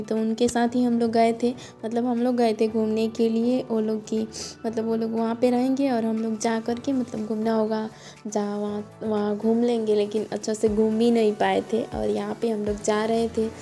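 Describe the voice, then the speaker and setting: A young woman, narrating her trip in a casual, rambling voice-over and often restarting her sentences. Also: fairly high pitch. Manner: rambling, many "matlab" restarts. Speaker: vlogger. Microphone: phone. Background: background music.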